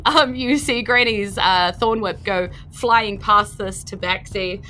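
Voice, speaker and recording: A woman's voice: mid-range voice, slow pace, bright, forceful tone, a little hiss or hum. A woman with a New Zealand accent improvising narration as game master of a tabletop role-playing game.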